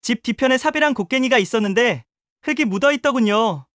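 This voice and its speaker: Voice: medium pitch, animated, fast talker, a bit bright, no room sound, clean studio sound, natural voice. An actor playing a polite, businesslike detective in an audio drama. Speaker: police detective.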